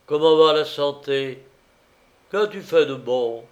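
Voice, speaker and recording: A man's voice: fairly high pitch, slow, dull audio, voice pushed up. A man voicing a drunken, maudlin master of a house in an audiobook story, effusive and mock-dignified, with thick slurred consonants. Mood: awkward politeness.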